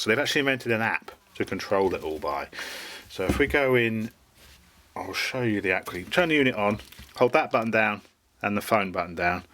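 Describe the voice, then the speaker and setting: A man's voice: on the low side, fairly bright tone. A British man giving a casual hands-on product review, explaining things informally as he goes. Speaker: motorcycle gear reviewer.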